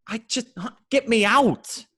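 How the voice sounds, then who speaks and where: A man's voice: extremely high pitch, a little slow, a bit bright, husky, dry recording, clean studio sound, exaggerated voice. A man playing a desperate, paranoid small-time gangster, stammering and swearing, in an amateur script read. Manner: stammering, repeating, profane.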